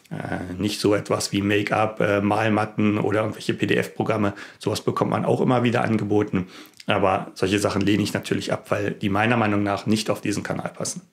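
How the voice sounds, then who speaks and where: Creaky-voiced man talking casually to camera. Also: fairly low pitch. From Berlin, Germany. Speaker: YouTube tech vlogger, host.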